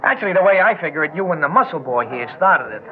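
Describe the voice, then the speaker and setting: An actor playing a swaggering, menacing club musician, sneering and sarcastic, in mid-century radio drama style. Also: male voice, fairly high pitch, animated, fast talker, lightly acted. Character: menacing, jealous, swaggering club pianist bully. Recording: noisy, tinny old radio recording.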